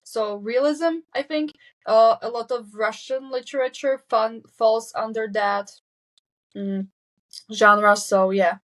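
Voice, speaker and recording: A woman's voice: fairly high pitch, fairly even intonation. A seventeen-year-old Slovak girl, speaking English as a second language, answering interview questions candidly and correcting herself as she goes. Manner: candid, self-correcting, quick nervous laughs. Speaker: guest. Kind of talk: casual interview chat.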